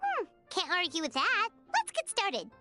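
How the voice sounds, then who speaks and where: Childlike fairy companion, anime game, very high squeaky voice, swooping excitable delivery. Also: female voice, measured pace, faint music behind, heavily acted. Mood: curious, eager. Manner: excitable, refers to self in third person. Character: tiny floating fairy companion girl, perky, childlike.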